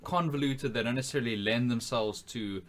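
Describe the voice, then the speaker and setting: A man's voice: medium pitch, fairly quick. A man co-hosting a casual podcast, speaking in an articulate, analytical and sarcastic way. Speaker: podcast co-host.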